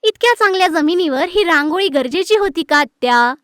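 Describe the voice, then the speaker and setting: Woman voicing a cheeky high-pitched little girl. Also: lively intonation, fairly quick, fairly bright tone, no room sound, studio quality. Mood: sassy. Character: cheeky, mischievous little girl niece, quick to talk back. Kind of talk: animated moral story dialogue.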